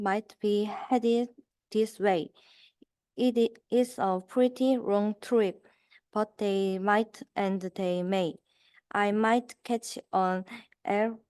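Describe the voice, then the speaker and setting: Very slow, halting young woman reading aloud. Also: medium pitch, darkish tone, smooth. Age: young adult. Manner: halting, word by word. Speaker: student reader. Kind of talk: reading a rhyming children's book aloud.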